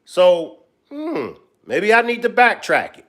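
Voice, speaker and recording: A man hosts a live stream, chatting loosely with his audience. His voice sits a little high. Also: wildly swooping pitch, slow, a bit bright. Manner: loose, chatty, talks straight to viewers. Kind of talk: casual live-stream commentary.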